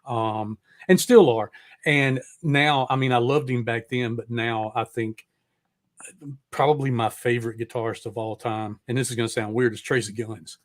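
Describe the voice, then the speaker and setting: A man's voice: mid-range voice, fairly even intonation, moderate pace. Middle aged guy hosting a podcast, super casual, rambles a bit, drops swears. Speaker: podcast host. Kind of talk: casual chat interview.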